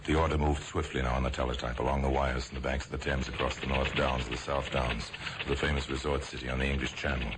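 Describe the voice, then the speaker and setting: A man narrating an old radio crime drama in a grave, measured voice. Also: rumbling low, little pitch movement. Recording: old radio broadcast with faint hiss.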